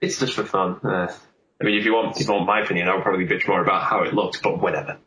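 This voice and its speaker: A man's voice: low voice, fairly even intonation, very fast, pushed and bright. A man chatting casually in an easygoing, agreeable way, often hedging his opinions. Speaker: podcast co-host. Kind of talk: casual chat. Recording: roomy echo, background noise.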